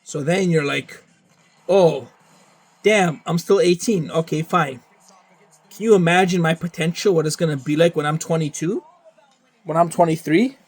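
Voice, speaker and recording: A man's voice: a little high, moderate pace, pauses often. A young man from Canada, talking in a rambling, profane monologue and repeating himself often. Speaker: vlogger. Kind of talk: casual monologue.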